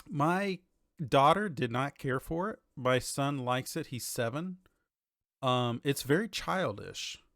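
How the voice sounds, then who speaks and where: A man's voice: mid-range voice, lively intonation, slow, fairly bright tone, no echo, clean studio sound. A man in his thirties chatting casually as a podcast host, informal and conversational, often thinking aloud. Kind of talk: casual chat about TV and games.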